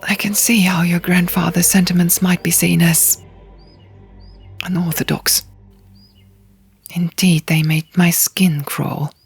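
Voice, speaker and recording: A woman's voice: fairly low pitch, slightly rough, full character voice. A woman voicing a guarded, skeptical young female diviner in an audiobook, speaking in a pointed, reserved way.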